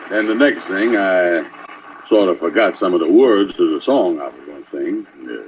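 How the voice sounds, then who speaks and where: An actor playing a sheepish, nervous man in an old radio comedy, halting and folksy, on a tinny vintage recording. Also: low voice, animated, a little hiss or hum. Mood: sheepish, hesitant. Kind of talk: 1930s-40s radio comedy acting.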